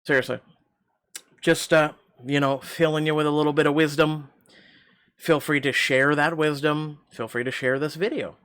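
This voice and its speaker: A man's voice: fairly high pitch, fairly even intonation, moderate pace, a bit bright, clear, resonant tone. A middle-aged man with a slightly tight throat, reading a story game aloud and rambling with wry asides and many fillers. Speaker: game channel host.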